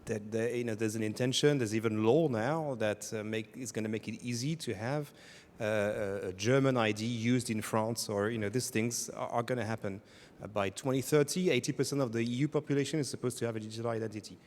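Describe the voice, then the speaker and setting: A man on a panel speaks candidly and skeptically, breaking into short chuckles between his points. His mid-pitched voice rings out in a large hall with a long reverb. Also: steady tone, brisk, darkish tone. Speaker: conference panelist.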